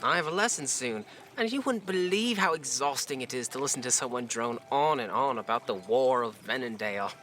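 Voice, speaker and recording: A man's voice: mid-range voice, expressive, fairly quick, a bit bright, faint music behind, close to an everyday voice. A man playing a breezy, privileged young lord's son in an audio drama, chatty and flippant.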